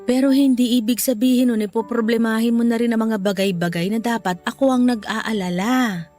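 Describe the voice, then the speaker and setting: A woman's voice: a little high, steady tone, brisk, darkish tone, soft background music, lightly acted. A woman playing a poor, anxious but loving mother in a Filipino radio drama, with a tender, careworn manner. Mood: tender, apologetic.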